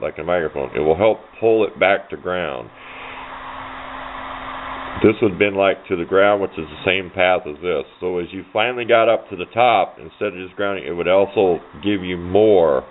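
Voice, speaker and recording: A man giving an informal hands-on electronics tutorial in a folksy, rambling way. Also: low-pitched. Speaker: hobbyist demonstrating electronics. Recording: dull lo-fi sound, faint background noise.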